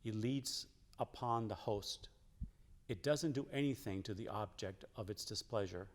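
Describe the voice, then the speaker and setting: A man's voice: lowish voice, measured pace. A man, a church member, reading quotations aloud in a solemn, scripted way. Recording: long hall reverb.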